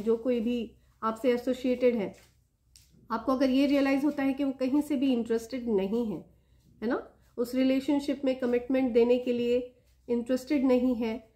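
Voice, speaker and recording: A woman with an Indian accent and a slightly hoarse throat, hosting a spiritual reading and speaking directly to her viewers with gentle blessings. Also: high voice. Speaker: spiritual reader and channel host. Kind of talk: spiritual reading addressed to viewers, Hindi mixed with English.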